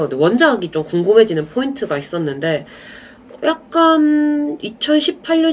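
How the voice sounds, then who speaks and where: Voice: mid-range voice, a little hiss or hum. Stuffy-nosed young vlogger rambling casually. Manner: relaxed, chatty, drifting off into asides. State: slight cold, nasal stuffy voice. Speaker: vlogger reviewing films and dramas. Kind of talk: casual rambling chat to camera.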